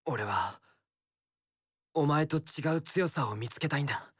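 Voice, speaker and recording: An actor playing a carefree, romantic young wandering warrior with a tender streak in an action game, theatrical, breezy and poetic. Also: male voice, a little high, animated, a little slow, slightly rough, dry recording, studio quality. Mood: wistful. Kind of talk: Japanese action game voice acting.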